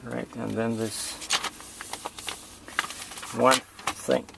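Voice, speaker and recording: A man giving an informal hands-on tutorial in a halting, improvised way, as if thinking aloud. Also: medium pitch, level tone. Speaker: demonstrator giving setup instructions. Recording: clearly noisy amateur recording.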